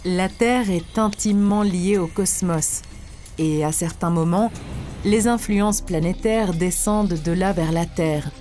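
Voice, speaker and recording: An actress plays a wise woman teacher in an animated spiritual tale. Her voice sits a little low and she explains things in a measured, earnest way. Quiet music plays behind her. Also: close to an everyday voice. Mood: solemn, instructive. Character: wise female spiritual teacher, serene and earnest, instructing disciples. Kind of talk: scripted didactic cartoon dialogue.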